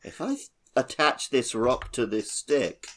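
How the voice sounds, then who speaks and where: A man's voice: medium pitch, a little slow. A man co-hosting a casual gaming podcast, jokey and given to acting out imagined quotes. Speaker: podcast co-host.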